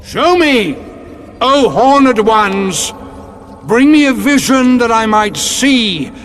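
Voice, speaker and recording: An actor playing a solemn, haughty alien sorcerer priest in a science fiction audio drama, with grandiose, archaic speech. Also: male voice, high-pitched, a bit bright, heavily acted. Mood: chanting, fervent.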